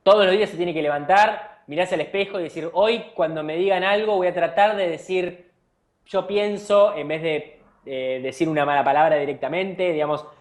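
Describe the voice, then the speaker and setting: A man's voice: fairly high pitch, normal speed. A man from Argentina with an Argentine accent, a company founder answering interview questions with earnest advice and frequent restarts. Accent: Argentine Spanish. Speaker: startup CEO being interviewed.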